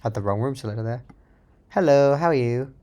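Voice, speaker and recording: A man with a mid-pitched voice talks through his programming in a casual, unscripted way. He sounds like a developer thinking out loud, musing half to himself as he works. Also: moderate pace. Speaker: software developer presenting own project. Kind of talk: casual live-coding narration, thinking aloud.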